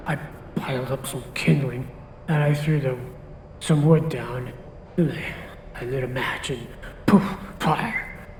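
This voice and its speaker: A man's voice: medium pitch, a little mellow, roomy sound, slight background noise, low-quality recording. A man playing a homeless male arson suspect who talks in short, clipped, ungrammatical bits. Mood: agitated. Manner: terse, clipped, ungrammatical speech. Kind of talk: amateur radio drama acting.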